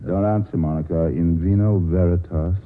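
An actor playing an urbane, cynical, amused bachelor with dry, worldly delivery in 1940s radio style. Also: male voice, low-pitched, steady tone, normal speed, close to an everyday voice. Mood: dry, casual. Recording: noisy narrow-band old radio broadcast.

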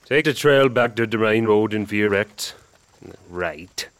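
A man's voice: fairly low pitch, slow, fairly bright tone, no room sound. An actor playing a surly, suspicious backwoods man who speaks clipped rustic dialect with Dutch words mixed in, in a radio drama. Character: surly backwoods older brother, suspicious and menacing.

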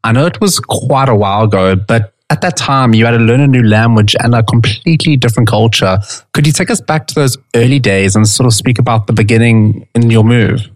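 A man asking an interviewee a prepared question in a measured, formal way. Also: medium pitch.

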